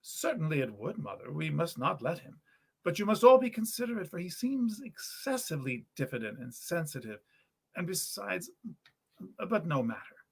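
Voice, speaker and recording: A man voicing an earnest, good-natured young male student from a storybook, with animated, eloquent old-fashioned speech. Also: on the high side, normal speed, gravelly, breathy edge, pitched above the performer's own voice, heavily acted. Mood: urgent, considerate. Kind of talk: storybook character reading.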